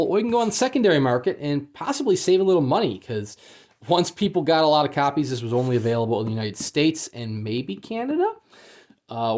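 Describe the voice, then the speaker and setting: A man talks through an unboxing in a casual, unscripted way. His delivery is understated and a little hesitant, with a mildly let-down tone. His voice sits at an average pitch. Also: a little mellow. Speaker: book unboxing reviewer. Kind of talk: casual unscripted product review.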